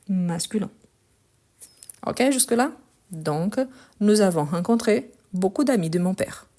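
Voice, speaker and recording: A woman French teacher slowly going through a written exercise, correcting mistakes and checking in with her students. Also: on the low side, dark tone. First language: Portuguese. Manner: patient, repeats words slowly, checks in with "ok?".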